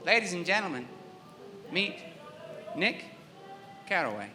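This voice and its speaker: A man's voice: high voice, sing-song, measured pace, music in the background. A man announcing a live radio play in a scripted introduction. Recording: echoey hall.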